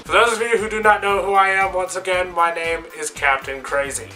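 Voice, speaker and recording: A man's voice: high-pitched, steady tone, a little fast, loud music behind the voice, natural voice. A man voicing a brash, rambling, irreverent comic superhero with a scratchy, strained voice, hosting a comedic web show. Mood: griping. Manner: cocky, rambling, irreverent, swears freely. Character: brash, crude, rambling comic superhero Captain Crazy, male. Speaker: stand-in host of a comics show.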